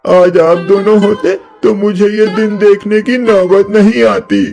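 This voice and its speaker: Man acting high-pitched little orphan cartoon boy. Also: steady tone, a little slow, gravelly, a little mellow, soft background music. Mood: sorrowful. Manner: plaintive, earnest. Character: poor, pleading young orphan boy. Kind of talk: Hindi moral-story cartoon dub.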